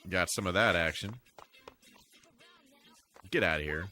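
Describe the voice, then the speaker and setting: Man, live streamer, bright forceful voice, casual rambling chat, music in the background. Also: sits low, unhurried. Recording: music playing behind the voice.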